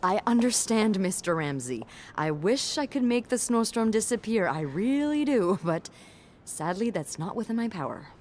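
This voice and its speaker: A woman's voice: medium pitch, a little slow. A woman voicing an exasperated, sardonic hotel receptionist who keeps up a polite front, in a narrative video game. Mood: strained patience. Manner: deadpan sarcasm under polite phone courtesy.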